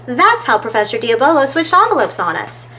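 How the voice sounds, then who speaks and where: A woman's voice: a little high, huge pitch swings, a little fast, pushed and bright, voice pushed up, full character voice. Storybook girl sleuth, clever and sure of herself, voiced by a woman, faint noise behind. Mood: triumphant. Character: Vicky, confident clever girl detective explaining clues.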